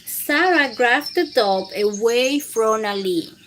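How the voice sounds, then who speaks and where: A woman's voice: a little high, animated, takes it slowly, a bit bright, a little hiss or hum, low-quality recording. A woman hosting a storytime, reading aloud to young children in simple phrasing and putting questions to her listeners. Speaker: children's storytime host and teacher.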